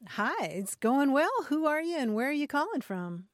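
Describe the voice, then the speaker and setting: A woman's voice: high voice, very animated, moderate pace, dry recording. Woman hosting radio show, dry studio sound. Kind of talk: casual call-in show chat.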